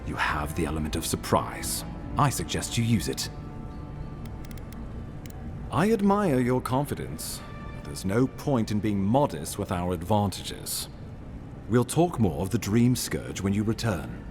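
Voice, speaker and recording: An actor playing a grave imperial official in a video game, speaking formally and deliberately with authority. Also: male voice, on the low side, sing-song, over music. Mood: measured, cautioning. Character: grave, commanding imperial official briefing an envoy.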